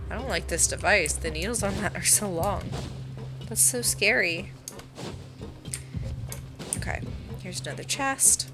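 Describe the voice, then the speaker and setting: A woman's voice: mid-range voice, expressive, a little slow, long pauses. A young woman streaming a game live, chatting casually and easily spooked by creepy scenes. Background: game music, keyboard typing.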